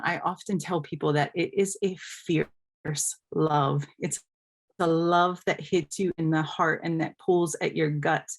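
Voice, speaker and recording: A woman with a somewhat low-pitched voice speaks as a scholar on a panel. She explains her thoughts with earnest emphasis, building her points phrase by phrase.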